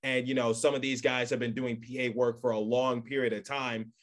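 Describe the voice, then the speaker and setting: A man's voice: medium pitch, flat delivery, fairly quick. A young man hosting a casual podcast interview, chatty, with long wordy questions and asides. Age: young adult. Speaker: podcast host.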